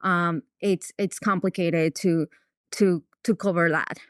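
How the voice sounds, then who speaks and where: A woman's voice: lowish voice, steady tone, takes it slowly, smooth, dry recording, clean studio sound. A woman from Mexico with a Mexican accent and Spanish as her first language, answering interview questions conversationally as a veterinarian. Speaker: veterinarian, podcast guest.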